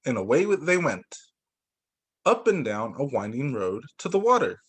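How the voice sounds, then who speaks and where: A man working as a teacher, reading children's storybooks aloud in an expressive way. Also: mid-range voice, normal speed, husky. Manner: expressive, acts out dialogue for young children.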